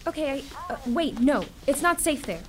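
A woman's voice: sits high, animated, normal speed. A young actress playing an exasperated, defiant teenage schoolgirl in a zombie radio drama, blunt and dramatic. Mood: anxious. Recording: clearly noisy background.

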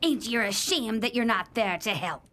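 Woman playing a lively, high-voiced pirate wench. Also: normal speed. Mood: taunting. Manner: rough dropped-grammar pirate dialect. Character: taunting, cruel, mock-regal female member of pirate gang. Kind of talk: comic pirate radio play acting.

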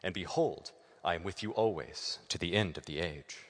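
Male scripture reader, silky low voice, measured liturgical delivery, echoing hall. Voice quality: smooth, silky voice. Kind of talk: liturgical Bible reading. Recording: church hall reverb.

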